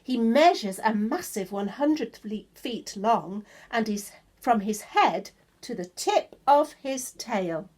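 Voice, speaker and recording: Slow, expressive woman reading to children. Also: on the high side. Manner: expressive, drawn-out emphasis, pointing things out to young listeners. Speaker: teacher reading a picture book to children. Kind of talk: read-aloud children's verse storytelling.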